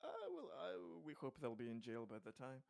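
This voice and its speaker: A man casually playing a tabletop game, haltingly narrating what his character says and does. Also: medium pitch, animated, vocal fry, crowd noise.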